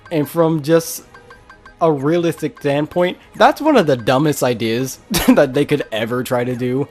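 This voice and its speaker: Animated young man, game music behind. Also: medium pitch. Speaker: gaming video host. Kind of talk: casual let's play commentary.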